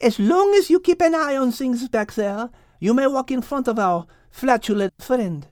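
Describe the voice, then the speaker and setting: A man voicing a poised, clever female French cat with measured, polite speech, in an audiobook. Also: very high-pitched, expressive, a little mellow, dry recording, clean studio sound, voice pushed up. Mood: warm, kindly. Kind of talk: audiobook character acting.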